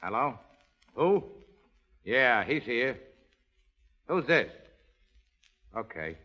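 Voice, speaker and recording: An actor playing a menacing, vengeful gangster with curt, snarling American talk in a 1930s radio crime drama. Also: male voice, medium pitch, big pitch swings, very slow, bright, forceful tone, breathy edge, heavily acted. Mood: suspicious, domineering. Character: menacing, vengeful male gangster, hard and suspicious. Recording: dull lo-fi 1930s radio recording.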